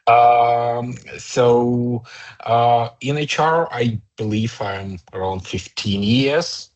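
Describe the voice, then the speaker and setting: A man with a Ukrainian accent, an HR director in his thirties, answering interview questions in a halting way with many fillers and restarts. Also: mid-range voice, steady tone, darkish tone. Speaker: HR director guest.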